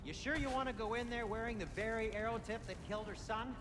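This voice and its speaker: A man's voice: high-pitched, steady tone, fast talker, music in the background, lightly acted. A man playing an anxious, cautious companion in a video game, fussy and precise, with a dry sarcastic way of objecting. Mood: disapproving. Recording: clear background ambient noise.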